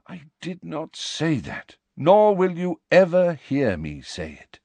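A man voicing a calm, weary, wise old wizard headmaster in an audiobook, speaking quietly and with measured gravity. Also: fairly high pitch, no echo, pitched above the performer's own voice, exaggerated voice. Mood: quiet, firm. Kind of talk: dramatic audiobook character reading.

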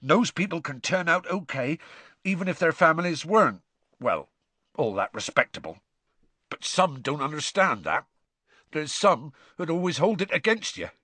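A man voicing a huge, soft-hearted male gamekeeper with a hoarse, croaky voice and rough speech. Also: fairly high pitch, very animated, moderate pace, dry recording, pitched above the performer's own voice, heavily acted. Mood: tearful, earnest. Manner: rough working-class speech.

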